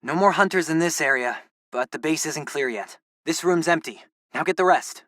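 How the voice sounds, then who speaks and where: A man's voice: on the high side, moderate pace, a bit bright, dry recording, clean studio sound, lightly acted. A young man playing a geeky, upbeat teenage boy in a video game, a loyal best friend with a casual, wry, chatty way of talking. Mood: focused. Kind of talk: video game companion dialogue.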